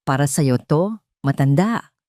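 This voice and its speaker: A woman's voice: deep voice, animated, a little slow, warm tone, no echo, clean studio sound. A woman voicing a cold, vengeful businessman who talks in curt, contemptuous commands in an audio drama. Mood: bitter gloating. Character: cold, vengeful, impatient businessman barking orders.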